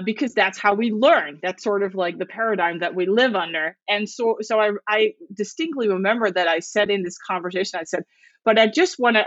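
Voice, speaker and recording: A woman's voice: mid-range voice, a bit bright, dry recording, lo-fi sound. A woman whose first language is Dutch, a podcast guest chatting thoughtfully with frequent restarts and fillers. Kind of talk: casual interview chat.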